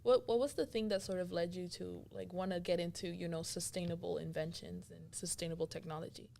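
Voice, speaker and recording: A woman's voice: lowish voice, steady tone, fairly quick, creaky, dark tone. A woman hosting a podcast, interviewing a guest in a casual, conversational way. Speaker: podcast host.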